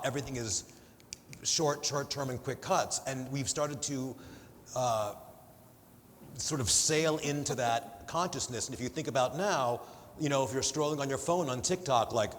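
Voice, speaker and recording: A man with a big voice speaking on a conference panel, lively and jokey, acting out little examples. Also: medium pitch. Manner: lively, jokey, talks in asides and acted examples. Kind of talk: panel discussion. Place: large conference hall. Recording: conference hall reverb with faint room noise.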